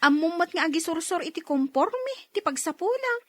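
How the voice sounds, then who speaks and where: Persistent young-spirited female peddler, very high voice, Ilocano accent, dry studio radio drama. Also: sing-song, normal speed, a bit bright, no echo, exaggerated voice. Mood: easygoing, tired. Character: hardworking single woman street vendor, cheerful and persistent.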